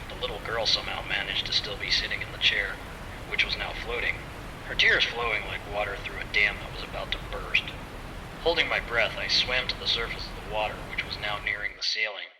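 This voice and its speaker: A man playing an earnest, bumbling bear private detective, narrating his case in a deadpan, theatrical old noir style. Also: on the low side, fairly quick, recorded from a distance, noisy background, close to an everyday voice. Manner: deadpan, theatrical hard-boiled storytelling. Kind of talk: scripted first-person noir detective narration.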